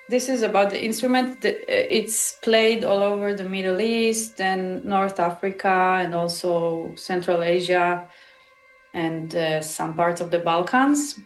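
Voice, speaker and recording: A woman's voice: medium pitch, takes it slowly. Israeli-born woman, English, thinking aloud with many restarts; echoey room, faint music beneath. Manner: thoughtful, hesitant, with many restarts. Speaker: musician guest. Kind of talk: interview answers.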